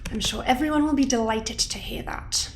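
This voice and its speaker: A woman's voice: a little high, moderate pace, background noise, lightly acted. A woman playing a stern, businesslike scientist in an audio drama, speaking bluntly with a clipped, reproachful manner.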